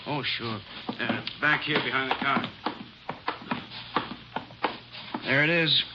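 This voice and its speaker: A man's voice: mid-range voice, moderate pace, close to an everyday voice. An American man playing a chatty, folksy pawn shop owner who repeats himself for emphasis, in a 1950s radio drama. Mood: obliging. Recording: muffled old radio recording, light hiss.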